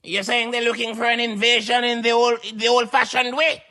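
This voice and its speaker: A man's voice: extremely high pitch, flat delivery, brisk, bright, forceful tone, heavily acted. A man playing a scornful, incredulous interviewer in a comedy radio skit. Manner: incredulous, needling questioning. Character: sceptical, scornful high-voiced radio interviewer, addressing his brother.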